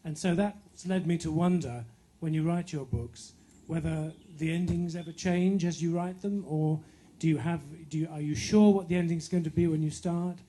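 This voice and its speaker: A man's voice: fairly high pitch, steady tone, moderate pace, few pauses, mellow. A man in the audience at a live talk, earnestly asking the author a question into a handheld microphone. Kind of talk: question from the floor. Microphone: handheld microphone passed around.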